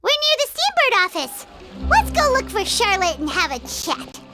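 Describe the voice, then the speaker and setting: A woman voicing a tiny, excitable floating female companion who chirps and talks about herself in the third person. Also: very high-pitched, normal speed, exaggerated voice. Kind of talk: video game voice acting. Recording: game audio with reverb and music.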